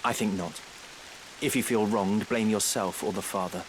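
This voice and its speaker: An actor playing a cold, scornful knight abductor in a fantasy game, curt and mocking. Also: male voice, on the low side, fairly even intonation, normal speed, lightly acted, rough recording. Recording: heavy rain-like hiss over voice.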